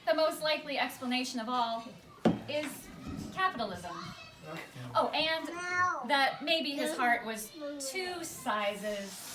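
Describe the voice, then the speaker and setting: A woman reading rhymed, scripted narration to a live audience as the presenter of a puppet show, in a storybook manner with deliberate pauses. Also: high-pitched, lively intonation, over a noisy crowd. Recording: distant mic, echoey room, noisy live recording.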